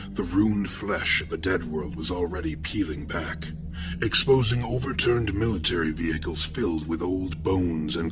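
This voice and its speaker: A serene killer narrating a horror tale in a low voice over prominent music. His delivery is measured and formal, full of florid, morbid poetry. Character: philosophical male serial killer narrator, morbidly poetic, serene. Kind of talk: scripted horror fiction narration.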